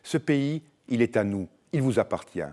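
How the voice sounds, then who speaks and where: A man's voice: medium pitch, lively intonation, normal speed, darkish tone. A man in his sixties giving a firm, insistent campaign address as a candidate. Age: 60s.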